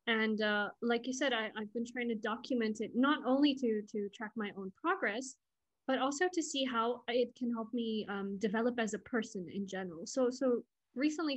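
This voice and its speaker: A woman's voice: fairly high pitch, low-quality recording. A young woman whose first language is Afrikaans, speaking earnestly and thoughtfully as a guest in a conversational interview. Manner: thoughtful, earnest, with frequent fillers.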